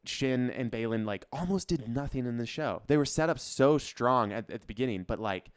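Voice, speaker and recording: A man's voice: medium pitch, lively intonation, moderate pace, smooth, no room sound, studio quality. A man chatting casually on a podcast in loose, very informal phrasing. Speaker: podcast co-host. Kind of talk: casual chat about pop culture.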